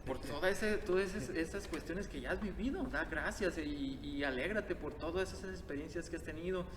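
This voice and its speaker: A man's voice: a little high, moderate pace, a crowd in the background. A man speaking as a guest on a reflective podcast, earnest and hesitant, with frequent fillers. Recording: echoey hall with clear background noise.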